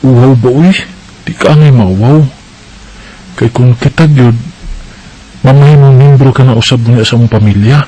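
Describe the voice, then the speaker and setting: An eager young man played by an actor with a Bisaya Filipino accent and a medium-pitched voice. He talks in a chatty, coaxing way, an affectionate boyfriend in a romantic radio drama. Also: moderate pace, long pauses, mellow, close to an everyday voice, poor audio.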